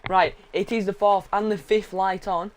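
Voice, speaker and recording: A hoarse man with a sore throat giving live sim racing commentary, blunt and joking with his co-host, clearing his throat now and then. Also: high-pitched, steady tone, normal speed, slight background noise.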